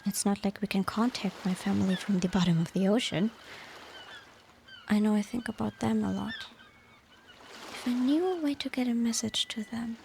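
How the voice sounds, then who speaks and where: A woman playing a tender, nostalgic former mermaid and devoted wife in a soft, unhurried, half-whispered ASMR roleplay. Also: mid-range voice, steady tone, vocal fry, a little hiss or hum, natural voice. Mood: wistful, homesick. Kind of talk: ASMR romantic roleplay, softly spoken.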